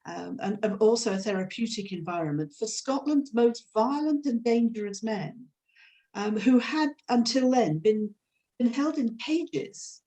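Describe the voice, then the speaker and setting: An older woman hosting an interview webinar, asking long, searching questions with frequent pauses. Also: a little high, animated, mellow. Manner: hesitant, many fillers and restarts in long questions. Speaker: host, charity chief executive.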